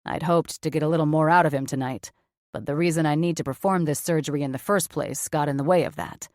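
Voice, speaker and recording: A cocky, no-nonsense male surgeon from an audiobook, as a woman narrator puts him on, dropping curses with cool, flat certainty. The voice sits low, and the recording is close and dry with no room sound. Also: fast talker, no room sound, close to an everyday voice. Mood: matter-of-fact. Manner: profane, blunt, matter-of-fact. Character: blunt cocky male surgeon, adult man.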